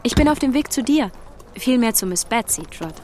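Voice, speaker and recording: A woman's voice: fairly high pitch, expressive, moderate pace, a little mellow, a little hiss or hum, natural voice. Woman acting a composed young lady, street ambience. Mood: calm, friendly. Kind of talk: radio play acting.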